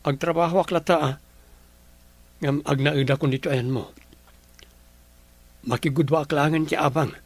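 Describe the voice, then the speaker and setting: A man's voice: a little high, steady tone, moderate pace, pauses often, dry recording. A man playing a young man who resents his family in a radio comedy drama. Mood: plain.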